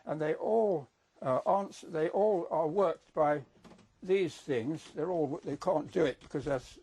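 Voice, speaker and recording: Older male, over seventy, slightly high voice, explaining machinery in a halting, emphatic conversational way. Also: dark tone, breathy edge. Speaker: veteran giving a guided explanation. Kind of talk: unscripted explanation.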